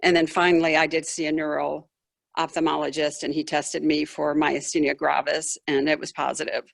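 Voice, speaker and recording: A woman speaking as a patient, describing symptoms conversationally and haltingly on a video call, searching for words. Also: low-pitched, level tone, gravelly. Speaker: patient asking a doctor questions.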